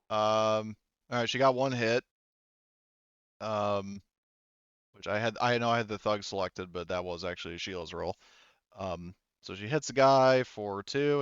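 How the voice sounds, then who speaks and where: A man's voice: fairly low pitch, fairly bright tone, clear, resonant tone, no room sound, muffled recording. A man running a tabletop game as game master, narrating casually and swearing freely.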